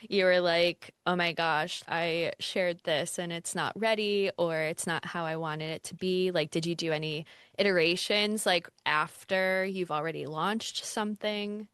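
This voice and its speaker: A woman's voice: fairly low pitch, fairly even intonation, unhurried, a bit bright, clean tone, no echo, clean studio sound. A woman hosting a casual podcast chat, bubbly, laughing often and filling with "like". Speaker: podcast host.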